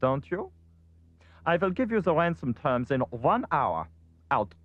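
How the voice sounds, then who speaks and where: An actor playing a pompous, scheming cartoon villain with a precise, haughty manner. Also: male voice, a little high, sing-song, a little slow, lo-fi sound. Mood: coolly menacing. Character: pompous scheming villain with a cold, logical mind.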